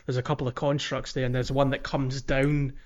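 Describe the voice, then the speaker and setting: A man's voice: medium pitch, level tone, a little fast, highs cut off. A man hosting a podcast, talking casually about video games in a chatty, hesitant, rambling way. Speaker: podcast host. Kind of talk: casual video game discussion.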